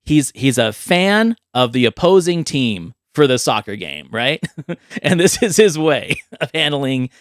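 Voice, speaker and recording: A man speaks as a podcast host in a very dry, close recording. His pitch sits in the middle of the male range. He chats casually about movies and spins ideas out with vivid, animated storytelling. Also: measured pace, no echo.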